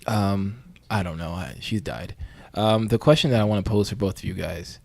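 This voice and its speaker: A man playing an earnest, awkward amateur podcast host, hesitant and rambling with a deadpan delivery, in a mock-investigative interview. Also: lowish voice, moderate pace, gravelly, dry recording.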